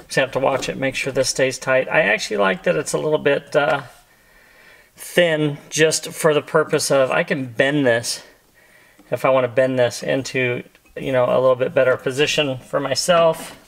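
A man's voice: medium pitch, normal speed, creaky, fairly bright tone. A middle-aged American man giving a casual, easygoing hands-on tutorial, talking as he works inside a parked car. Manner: easygoing, talking while working with his hands. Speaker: host of a car accessory install video. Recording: faint background noise and clicks.

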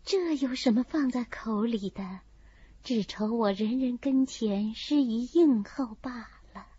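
A woman puts on a composed young lady from a classical novel, kindly and sensible. She speaks slowly, soothing like an older cousin in an audiobook drama. The recording is slightly dull and close. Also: on the high side, warm tone, slightly rough, no echo, pitched below the performer's own voice. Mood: reassuring. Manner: soothing, measured.

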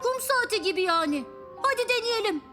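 A woman's voice: very high voice, lively intonation, fairly quick, music in the background. A woman voicing a cheeky, lazy little boy in a children's cartoon, cocky and playful. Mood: cheerful.

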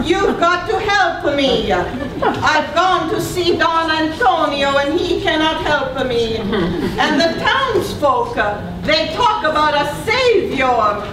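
A woman's voice: high voice, sing-song, slow pace, heavily acted. Woman playing a pleading old nonna. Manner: pleading, hesitant with many uh's, laughing. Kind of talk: amateur puppet show acting. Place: stage in a hall with an audience. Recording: echoey room from far off, crowd and noise.

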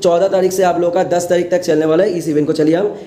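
A man's voice: high-pitched, fairly even intonation, fast talker, warm tone, slight background noise. A young man with an Indian accent giving a casual gaming explainer as a host, repeating himself and mixing in English words.

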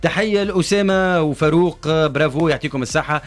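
A man's voice: on the high side, rapid-fire. A man with a Tunisian accent hosting a live radio talk show, mixing French words into his speech. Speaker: radio host. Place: radio studio. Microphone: close studio microphone on arm.

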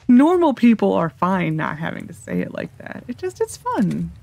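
A woman's voice: medium pitch, gravelly, darkish tone. A woman with a General American accent giving casual gameplay commentary, rambling as she thinks aloud to herself. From United States. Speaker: gaming video host.

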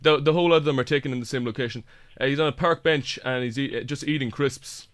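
A man's voice: medium pitch, fast, noisy background, natural voice. An Irish man playing an earnest, hapless amateur paparazzo, rambling hesitantly through a prank phone call. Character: earnest, hapless semi-professional paparazzo peddling photos. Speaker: prank caller.

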